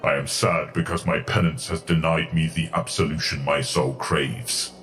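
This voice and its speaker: A man acting a weary warrior chaplain, extremely low voice, solemn, burdened by shame, formal wording, faint music behind. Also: fairly even intonation, fairly bright tone. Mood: mournful, ashamed. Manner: solemn, formal, measured phrasing. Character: melancholic, ashamed male warrior chaplain, weary and stern. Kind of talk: dramatic audio story character acting.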